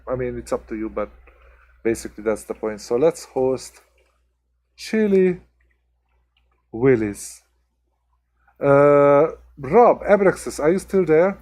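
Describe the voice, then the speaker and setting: Chatty Hungarian-accented streamer. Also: male voice, mid-range voice. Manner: chatty, talks to viewers by name, many ums and restarts. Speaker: livestream host. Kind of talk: casual chat with live viewers.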